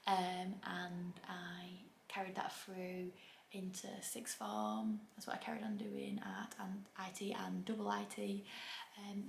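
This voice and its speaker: A young woman with a Bolton accent chats casually to her camera, dropping ums and little self-deprecating jokes. Her voice carries a strong gravelly fry. The room sounds echoey, with a faint hiss underneath. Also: mid-range voice. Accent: Bolton, Greater Manchester. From England. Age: 20s. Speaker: YouTuber. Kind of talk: casual talking-to-camera vlog.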